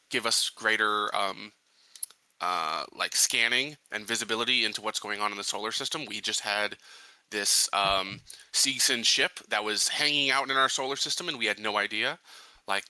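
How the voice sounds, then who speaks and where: A man playing a tabletop roleplaying game, speaking casually and haltingly as he searches for words. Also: lowish voice, sharp tone, no echo, poor audio.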